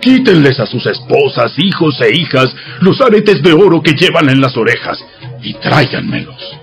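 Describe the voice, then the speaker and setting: A biblical priest before a crowd. His voice is fairly high and extremely animated, with declamatory orders. Faint music plays behind him. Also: normal speed. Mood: urgent, proclaiming.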